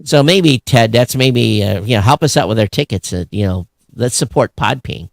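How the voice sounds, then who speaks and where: A man's voice: medium pitch, lively intonation, moderate pace, vocal fry, no room sound. Man co-hosting a casual show, dry close sound. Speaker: podcast co-host. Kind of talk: casual talk-show commentary on tech news.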